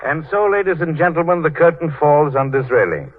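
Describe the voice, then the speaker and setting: A man's voice: a little high, lively intonation, a little fast, heavy background noise. A man announcing a scripted 1930s radio show in a formal, showmanlike way. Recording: tinny 1930s radio broadcast recording.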